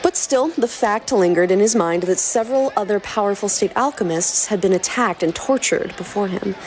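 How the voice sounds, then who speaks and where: A woman's voice: mid-range voice, moderate pace, slight background noise. A woman podcast host reading a scripted promo and narrating a story dramatically.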